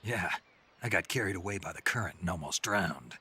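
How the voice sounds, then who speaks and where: An actor playing a gruff, weary middle-aged male soldier in a video game, speaking tersely in a gravelly, husky voice. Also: expressive, a little fast, sharp tone, full character voice. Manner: terse, low-key, questioning.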